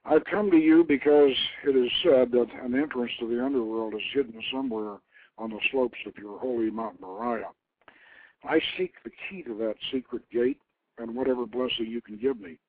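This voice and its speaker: A man's voice: medium pitch, moderate pace, a little hiss or hum, close to an everyday voice. Guy playing humble king on a quest, earnest n polite, bit hesitant, tinny phone sound. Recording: lo-fi, phone-like internet radio.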